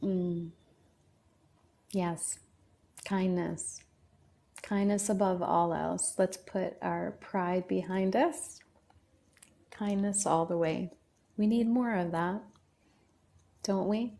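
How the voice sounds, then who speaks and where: Woman, livestream host, unhurried, gravelly, reads comments aloud. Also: lowish voice, steady tone, warm tone. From Lebanon. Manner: reads viewer comments aloud and answers them. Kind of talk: casual livestream chat reading comments.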